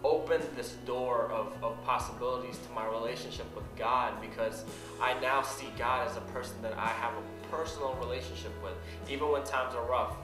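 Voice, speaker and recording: A young man from the Philippines giving an earnest personal testimony, halting and repeating words as he goes. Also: mid-range voice, fairly even intonation. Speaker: church member telling his story. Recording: roomy sound with music behind.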